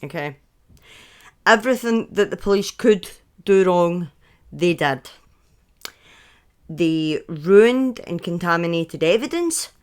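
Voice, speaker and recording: A woman's voice: fairly low pitch, lively intonation, takes it slowly, pauses often, a little mellow, clean tone. A woman in her thirties with a Scottish accent, telling true crime stories casually on a live stream, often breaking off into chatty asides and correcting herself. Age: 30s.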